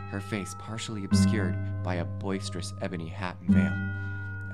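Man playing a cautious younger brother, loud guitar behind. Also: deep voice, natural voice. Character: cautious, anxious teenage brother reading a journal aloud. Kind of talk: audio drama acting.

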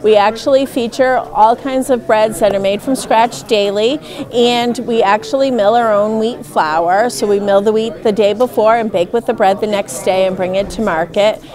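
A woman's voice: on the high side, steady tone, normal speed. A woman from a bakery explaining her breads in a friendly, explanatory way. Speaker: bakery vendor. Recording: crowd chatter behind.

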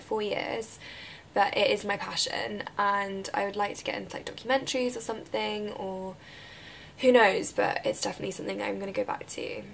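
A woman in her twenties with a croaky voice from a recent illness, chatting casually as she answers viewer questions to camera in her bedroom. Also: medium pitch, slight background noise, low-quality recording. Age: 20s. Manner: chatty, relaxed, drifts into breathy whispers. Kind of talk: casual Q&A vlog. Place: bedroom, indoors. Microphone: camera mic at close range.

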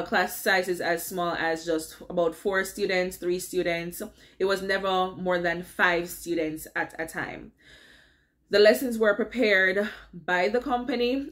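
A middle-aged Jamaican woman talks straight to her webcam from a small home office. Her pitch sits mid-range for a woman, and her tone is casual and explanatory, with frequent check-ins to her audience. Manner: chatty, keeps checking in with "all right?". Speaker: vlogger. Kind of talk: casual advice vlog. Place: home office with window blinds.

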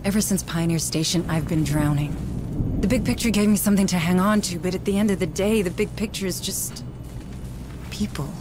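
A woman's voice: fairly low pitch, fairly quick, over music, natural voice. A woman playing a serious, guarded corporate administrator in a dramatic game, speaking with clipped, firm authority. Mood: stern, serious. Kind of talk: dramatic video game cutscene acting.

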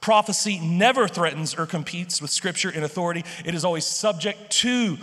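Male pastor, thirties, high voice, emphatic conversational preaching, handheld mic, long reverb from a big church hall. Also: fairly quick, bright, forceful tone. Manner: emphatic, conversational, repeats words, frequent "okay?" and "right?". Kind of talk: sermon. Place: large church stage with purple lights.

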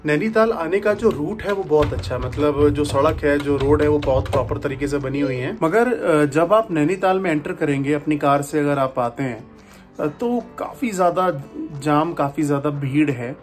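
A man in his thirties vlogging about a road trip, talking to a phone held at arm's length and pausing often with "uh". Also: on the high side, expressive, faint music behind. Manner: chatty, with frequent "uh" pauses. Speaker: travel vlogger. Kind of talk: casual vlog narration to camera. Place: hotel room, indoors.

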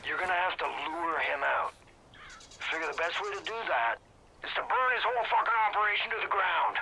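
A fatherly, plain-spoken resistance man from a video game briefs over a noisy radio. His voice is fairly high and creaky, with a gruff, urgent edge. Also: lively intonation, a little fast. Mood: urgent, relieved. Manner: blunt, folksy, swearing. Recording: noisy, clicking radio transmission.

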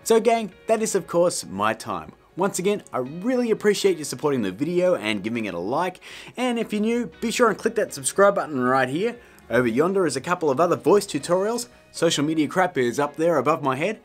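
A man's voice: a little high, big pitch swings, fairly quick, soft background music. A man in his thirties hosting a casual scripted tutorial in a jokey, emphatic, theatrical way. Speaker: tutorial host.

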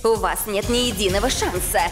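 A woman's voice: mid-range voice, fairly quick, music in the background, natural voice. A woman playing a haughty, taunting, imperious villainess in a cartoon dub. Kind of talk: animated series dub.

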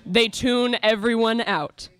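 High-voiced young woman narrating. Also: takes it slowly, fairly bright tone, clear, resonant tone, no room sound. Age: young adult. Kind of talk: scripted live radio play narration. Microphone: stand microphone.

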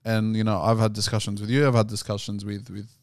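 A man from Sydney with an Australian accent, a podcast co-host telling stories in a relaxed, casual way. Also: fairly low pitch, fast talker, no room sound, clean studio sound.

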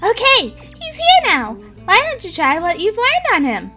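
A woman's voice: extremely high pitch, wildly swooping pitch, unhurried, over loud music, full character voice. A woman voicing a bubbly, pushy, cute female fox-like creature in a cartoon comic dub, perky and coaxing.

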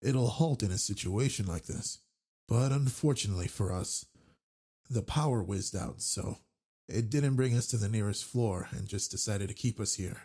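A man performs a brawny male werewolf alpha for a romantic audio drama. The voice is very husky and low, with a gravelly creak. He is flirtatious yet gentle and protective. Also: full character voice. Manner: slow, soft, teasing. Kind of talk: intimate romantic audio roleplay.

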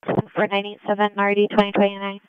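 A man's voice: sits high, completely flat delivery, a little fast, clean tone, dry recording. A man working as police dispatcher, reading clipped incident details over a dull radio channel.

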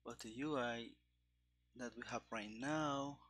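Slow, bright-voiced man coding aloud. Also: medium pitch. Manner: halting, thinking aloud. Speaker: programmer live-streaming game development. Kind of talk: casual live coding commentary. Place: small room indoors at a desk. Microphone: headset. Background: keyboard typing and clicking.

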